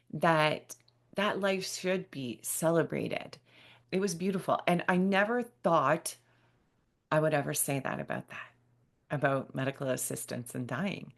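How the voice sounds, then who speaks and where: A woman's voice: deep voice, vocal fry. A woman in her fifties speaking earnestly and emphatically to listeners as the host of a solo podcast. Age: 50s. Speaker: podcast host and bereavement specialist.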